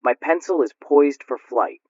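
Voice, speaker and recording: A man's voice: on the high side, unhurried, a little mellow, no echo. A man playing a forlorn, earnest young suitor in a radio play, speaking in a formal, melodramatic manner. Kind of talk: radio drama acting. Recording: dull, close, dry recording.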